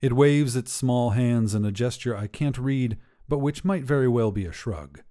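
A man's voice: medium pitch, normal speed, dark tone. A man narrating scripted first-person short fiction for a podcast.

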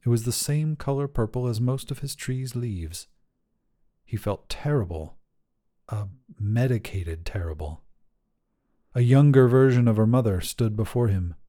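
A man's voice: medium pitch, a little slow, mellow. A man narrating scripted short fiction for a podcast.

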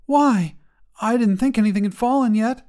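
High urgent little girl, anxious and earnest, pleading whisper, man doing the voice. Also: normal speed, darkish tone. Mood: alarmed.

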